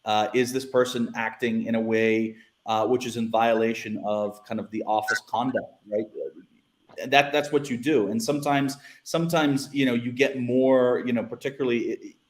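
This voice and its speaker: A man's voice: medium pitch, reverberant. A man with an American accent, a guest who was once a newspaper editor, talking casually and restarting his sentences often. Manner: rambling, repeats words, many restarts. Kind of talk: casual panel discussion.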